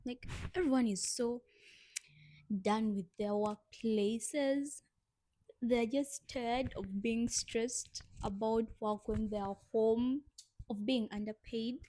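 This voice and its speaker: A woman's voice: on the high side, fairly even intonation, slow, mellow, slight background noise, poor audio. A young woman co-hosting a casual podcast chat, reading prompts aloud and laughing often. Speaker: podcast co-host.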